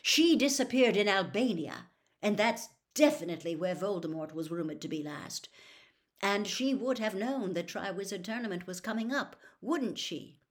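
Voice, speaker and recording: A woman voicing a troubled adult male wizard who gives earnest, hurried warnings in a storybook reading. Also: mid-range voice, lively intonation, voice pushed up, close to an everyday voice. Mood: urgent.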